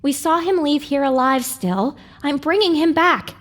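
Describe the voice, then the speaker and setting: Girl voicing a feisty young pony heroine, high lively voice, defiant, audio drama style. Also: a little slow. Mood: determined.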